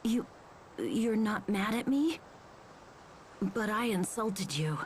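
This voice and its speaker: A woman voicing a polite, reserved, insecure young boy in a video game, soft-spoken and hesitant. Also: mid-range voice, expressive, slow pace, a little hiss or hum, low-quality recording. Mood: unsure, touched.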